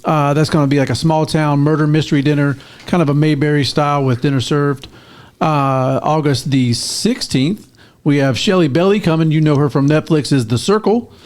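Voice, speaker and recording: A man's voice: on the high side, normal speed, a little mellow. A man from a local arts center, running through upcoming events on a radio show.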